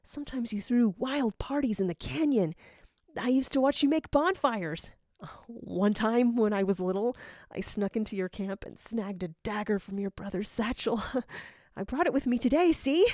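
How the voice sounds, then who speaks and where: A woman's voice: on the high side, normal speed, no echo, studio quality, pitched above the performer's own voice, close to an everyday voice. A woman voicing an eager, starstruck young male rookie cadet in a gushing fan fiction audio drama. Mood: starstruck, excited. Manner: gushing, excitable.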